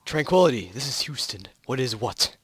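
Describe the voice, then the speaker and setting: A man playing a brisk, anxious mission control communicator, calling out clipped radio phrases and urgent questions in an amateur story reading. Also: mid-range voice, sing-song, breathy edge, no echo. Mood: serious.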